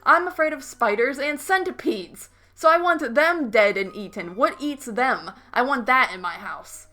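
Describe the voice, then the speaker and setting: A woman's voice: high voice, animated, normal speed, pushed and bright, faint music behind. A woman co-hosting a podcast in casual banter, with a blunt, argumentative way of talking. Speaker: podcast co-host.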